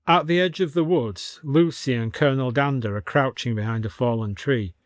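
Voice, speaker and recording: A man's voice: mid-range voice, normal speed, dry recording. Male storyteller, dry close recording. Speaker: audiobook narrator. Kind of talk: scripted story narration.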